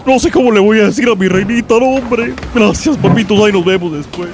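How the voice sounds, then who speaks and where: An actor playing a hapless, newly fired young husband who sighs and trips over pet names in a radio comedy sketch. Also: male voice, high voice, a little fast. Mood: glum. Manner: sighing "hombre", pet names, comic slips.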